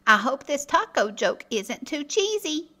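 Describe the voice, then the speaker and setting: A woman reading a children's story aloud in a playful, expressive way, speaking directly to her listeners as narrator and host. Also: sits high, slow. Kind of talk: animated read-aloud storytelling.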